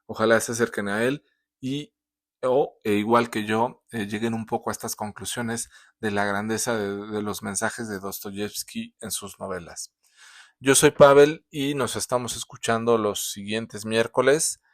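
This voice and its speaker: A man's voice: fairly low pitch, fairly even intonation, unhurried, dry recording, studio quality. A man with a sore throat, hosting a casual book review, talking with many fillers and restarts. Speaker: book reviewer, show host.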